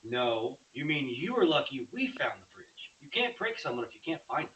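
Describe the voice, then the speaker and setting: A man's voice: mid-range voice, big pitch swings, normal speed, fairly bright tone. A man playing an easygoing, doubtful young boyfriend who questions and teases in a screenplay table read. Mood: skeptical. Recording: hissy, dull online-call sound.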